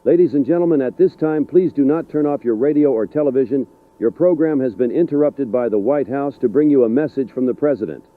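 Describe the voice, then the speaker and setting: A man playing a composed 1980s emergency broadcast announcer, speaking in a deliberate, official style. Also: medium pitch, no echo, natural voice. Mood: formal, composed. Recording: tinny narrow-band 1980s radio broadcast.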